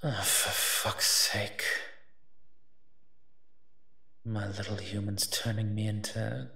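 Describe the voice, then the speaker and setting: A man voicing a grumbling, reluctant invisible monster with a secret soft heart in an audio roleplay, dry and sardonic. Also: lowish voice, level tone, a little slow, gravelly, heavily acted. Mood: disdainful, musing. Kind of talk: scripted character monologue, audio roleplay.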